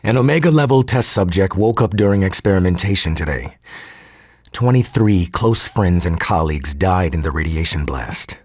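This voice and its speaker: Male scientist, low husky voice, formal and articulate, game audio-log monologue, very dry close sound. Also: expressive, moderate pace, darkish tone, no echo. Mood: cold, resolute. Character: earnest male geneticist turning cold and militant.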